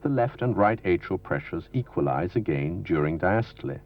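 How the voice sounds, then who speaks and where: A man with a very low voice narrates an instructional medical film in a formal, didactic way. His measured delivery moves through a lively range of pitch. The sound is dull and lo-fi, like a 1970s film soundtrack copied from videotape. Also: slight background noise. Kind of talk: scripted educational narration.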